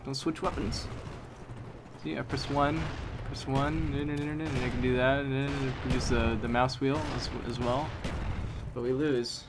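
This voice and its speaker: A man giving live commentary on his shooter gameplay, chatty and talking to himself, sometimes yelling at the game, his voice getting strained. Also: medium pitch, flat delivery, unhurried, noisy background. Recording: home mic under loud game gunfire.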